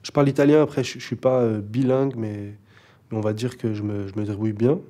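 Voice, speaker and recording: A man's voice: lowish voice, fairly even intonation, fast, dark tone. A young man, a rapper, chatting casually in an interview, laid-back and hesitant, with many fillers and restarts. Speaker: rapper guest.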